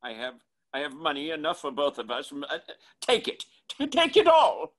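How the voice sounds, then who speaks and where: A man's voice: on the high side, wildly swooping pitch, normal speed. A man playing a frightened old man in a radio horror drama, stammering and pleading in alarm.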